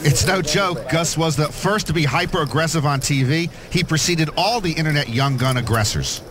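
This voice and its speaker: A man's voice: a little high, normal speed, noisy background. A man working as color commentator on a poker broadcast, making dry, joking asides. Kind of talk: wisecracking sports commentary.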